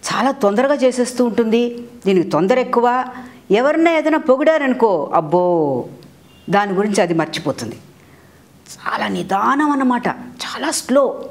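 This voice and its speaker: A woman's voice: a little high, a little mellow. A woman with an Indian accent tells a story to children like a teacher, asking them questions, repeating phrases and dropping in English words. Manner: mixes in English words and glosses.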